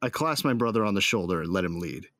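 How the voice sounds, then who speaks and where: An actor playing a cocky, hot-tempered bounty hunter who narrates a space opera audio drama in a wry, colloquial way. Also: male voice, deep voice, lively intonation, quick pace, a bit bright, no echo, studio quality. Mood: reflective, cocky. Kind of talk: first-person scripted audio drama narration.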